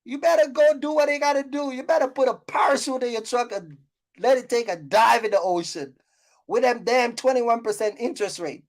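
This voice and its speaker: Female YouTube host, higher-pitched voice, blunt scolding advice, off-the-cuff and repetitive for emphasis. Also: fairly quick. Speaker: YouTube host giving trucking business advice. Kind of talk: casual unscripted rant to viewers.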